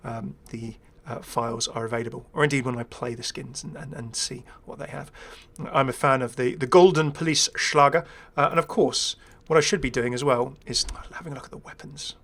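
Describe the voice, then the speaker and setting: A man's voice: mid-range voice, fairly even intonation, a little fast, hardly any pauses, a bit bright. A man giving casual, rambling first-impressions commentary as a gaming video creator, chatty, enthusiastic and self-deprecating.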